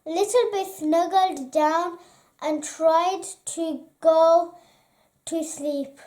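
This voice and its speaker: Very slow, high-pitched storybook reader. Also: fairly even intonation, a little mellow. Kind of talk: children's storybook read-aloud.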